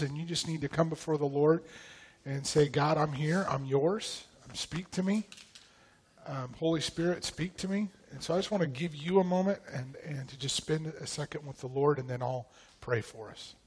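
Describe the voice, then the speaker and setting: A man from Idaho leading prayer and teaching at church, slightly raspy from a recent vocal cord infection, talking in a relaxed, loose way with many ums. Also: a little high. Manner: relaxed, conversational, frequent ums and throat clearing. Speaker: pastor.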